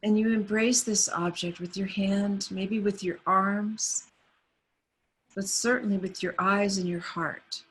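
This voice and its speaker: A woman guides a meditation in a soft, soothing voice, and she never hurries. Her tone is husky and a little breathy. She speaks over a video call. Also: medium pitch, fairly even intonation, slow, poor audio.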